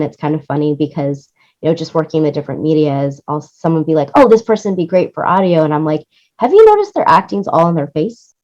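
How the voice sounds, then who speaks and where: Low-pitched woman, dull lo-fi audio. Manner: animated explaining, acts out quoted lines, seeks agreement with "right?". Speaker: interview guest, music supervisor and audio producer. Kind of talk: casual conversational interview.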